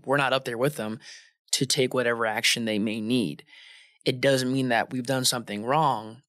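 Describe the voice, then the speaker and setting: A man's voice: medium pitch, no echo, studio quality. A man working as an air traffic controller talks informally in an interview, telling stories with slang and acting out bits of dialogue. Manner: animated, informal, uses slang and quoted dialogue. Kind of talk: casual conversational storytelling, acting out radio exchanges.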